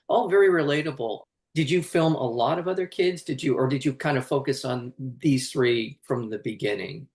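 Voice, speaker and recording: A woman hosting a podcast interview in a chatty way, thinking aloud as she asks, with a roomy echo on her voice. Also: low-pitched. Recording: roomy, echoing voice.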